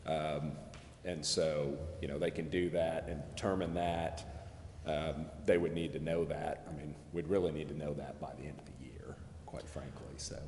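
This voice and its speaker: A man advising at a council meeting, explaining in an unscripted, measured and hesitant way. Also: a bass voice, fairly even intonation, a little mellow. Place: indoor council chamber. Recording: echoey hall with background noise.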